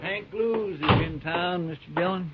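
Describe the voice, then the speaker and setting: An actor playing a deferential, folksy deputy sidekick in a 1950s radio western, hesitant and respectful. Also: male voice, high-pitched, normal speed, close to an everyday voice. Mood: flustered. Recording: dull, noisy old radio recording.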